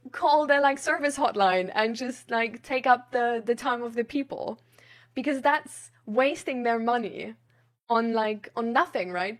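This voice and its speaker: Woman with a fairly high voice, informal and rambling, thinking aloud like a streamer chatting to viewers. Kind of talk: casual rambling chat.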